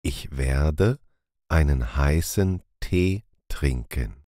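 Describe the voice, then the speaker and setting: A man reading short phrases calmly and deliberately for a language lesson, repeating each one. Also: rumbling low, no echo, clean studio sound. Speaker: language teacher.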